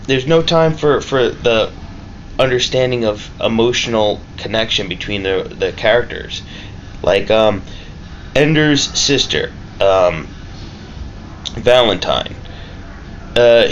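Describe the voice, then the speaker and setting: A man giving a casual, unscripted review, rambling and halting, with emphatic points and swearing. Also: on the low side, fairly bright tone, dull audio, a little hiss or hum. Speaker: amateur movie reviewer.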